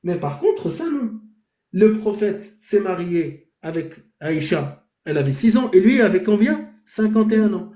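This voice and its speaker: A man's voice: high voice, big pitch swings, normal speed, mellow, echoey room. A man hosting a heated live debate, arguing his point with rhetorical questions that he answers himself. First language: Arabic. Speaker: debate host.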